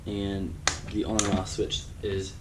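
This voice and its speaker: A man giving a casual unboxing demonstration in a halting way, often repeating and correcting himself. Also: fairly low pitch, completely flat delivery. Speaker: product reviewer. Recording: noisy recording with loud background noise and clicks.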